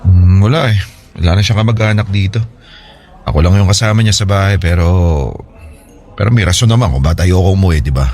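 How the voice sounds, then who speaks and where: Deep-voiced, hesitant Filipino man. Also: a little mellow, faint music behind. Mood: troubled, confiding. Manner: hesitant, halting, conversational. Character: troubled young married man, hesitant, friendly. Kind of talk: Filipino radio drama acting.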